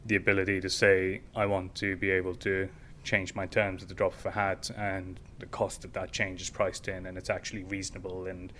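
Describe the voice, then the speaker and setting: A man's voice: low-pitched, completely flat delivery, brisk, few pauses, a bit bright, slight background noise. A man talking informally as an interview guest, explaining things with everyday examples. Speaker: guest, tech company founder.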